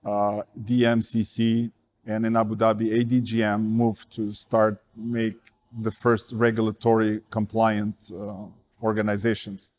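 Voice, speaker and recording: A man from Portugal speaks as an interview guest in a low voice. His pitch barely moves, and he pauses often with "uh" as he works through his answers. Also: darkish tone. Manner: measured, with frequent "uh" fillers. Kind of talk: casual interview talk.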